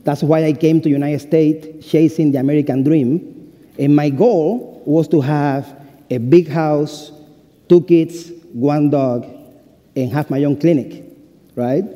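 Cuban-accented man preaching in a big hall. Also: fairly high pitch. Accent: Cuban Spanish. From Cuba. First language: Spanish. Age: 40s. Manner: humorous, warm storytelling with self-deprecating jokes. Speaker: pastor. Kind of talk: sermon. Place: university chapel. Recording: reverberant chapel hall.

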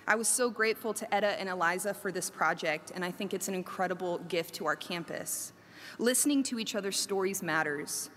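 A woman professor giving a reflective, prepared talk, earnest and measured, with emphatic lists. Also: medium pitch, fairly bright tone, big-room sound. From Korea.

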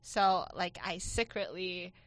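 Young woman from China guesting, chatty with lots of "like", slightly low pitch, dry sound. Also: steady tone, takes it slowly, no echo. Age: young adult. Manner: chatty, many "like" fillers, soft-spoken. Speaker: student guest. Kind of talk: casual interview answers.

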